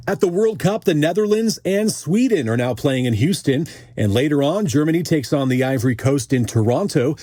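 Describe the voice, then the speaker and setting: An American man reading a scripted radio news bulletin as anchor, with a calm, measured, authoritative yet conversational delivery. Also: mid-range voice, no echo, clean studio sound.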